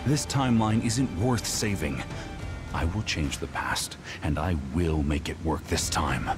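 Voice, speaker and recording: Casting: imperious game villain, very low voice, obsessive and world-weary, deliberate contemptuous delivery, over prominent music. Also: male voice. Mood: grim resolve. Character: cold, obsessive male time traveler villain, scornful and weary. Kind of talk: dramatic video game acting.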